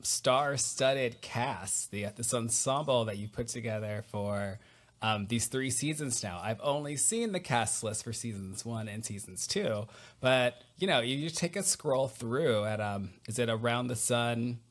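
A man hosting a podcast, chatty and quick to laugh, on a headset mic in a small room over a video call. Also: medium pitch. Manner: chatty, laughs easily, filler words. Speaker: podcast host. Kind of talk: casual interview chat.

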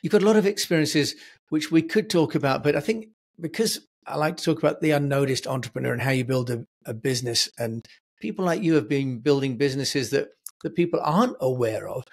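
A man's voice: on the high side, lively intonation, normal speed, vocal fry, husky. A man in his sixties hosting an interview over a video call from his home office, with a friendly manner that stretches key words for emphasis. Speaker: podcast host. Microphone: headset and boom mic.

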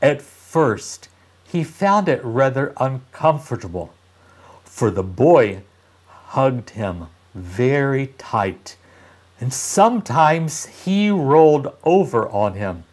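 Very slow, animated older male storyteller. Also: medium pitch. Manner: deliberate, dramatic pauses and emphasis. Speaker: teacher reading a children's story aloud. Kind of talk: expressive read-aloud storytelling for young children. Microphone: lapel mic.

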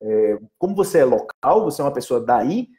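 A man, teaching in a casual live stream, animated and often checking that listeners follow. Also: mid-range voice, brisk. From Northeast Brazil. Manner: animated, gestures a lot, often asks "entendeu?". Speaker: Portuguese teacher.

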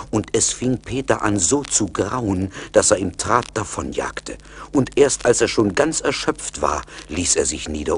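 A man's voice: deep voice, fairly bright tone. A man narrating a scripted fairy tale as the storyteller of a radio play. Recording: vintage LP radio play, faint noise.

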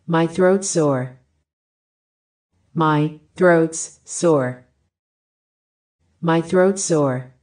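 Slow, careful woman reading drill phrases. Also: low-pitched. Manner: even, careful enunciation of short phrases. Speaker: language lesson reader.

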